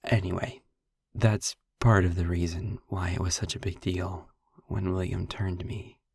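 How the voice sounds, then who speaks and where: A man as a husky, breathy low-voiced vampire boyfriend. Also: little pitch movement, darkish tone, no echo, clean studio sound, exaggerated voice. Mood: confiding, wry. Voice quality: breathy, husky, often near a whisper. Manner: halting, pausing, wry asides. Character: young male vampire boyfriend, wry, gentle, confiding. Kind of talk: intimate audio roleplay, softly confiding to the listener.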